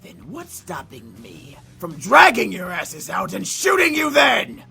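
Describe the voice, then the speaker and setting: A woman voicing a menacing, foul-mouthed male demon thug for a visual novel, raspy and gravelly, with a taunting drawl. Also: wildly swooping pitch, unhurried, bright, forceful tone, raised voice, heavily acted. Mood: furious. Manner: taunting, drawling, swinging wildly in pitch. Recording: music behind the voice.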